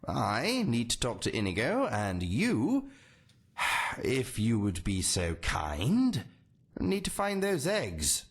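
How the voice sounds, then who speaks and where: A man's voice: medium pitch, wildly swooping pitch, slow, breathy edge, heavily acted. A man voicing a haughty, impatient character in a game who talks down to others. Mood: stiff apology. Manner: condescending, impatient. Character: haughty curt man sending errands.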